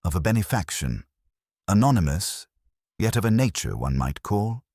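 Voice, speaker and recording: Prim, bossy older committee lady played by a man, pompous and exact, animated delivery, slightly husky, for a village radio mystery. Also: deep voice, moderate pace, dry recording, studio quality, voice pushed up, heavily acted. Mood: grandly formal. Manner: pompous, precise, decisive.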